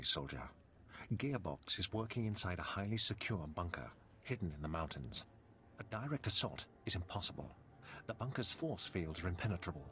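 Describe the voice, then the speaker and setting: A man playing a grave middle-aged nobleman and devoted father in a video game, speaking in a solemn, formal, measured way. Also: low voice, fairly bright tone, a little hiss or hum. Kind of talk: video game cutscene acting.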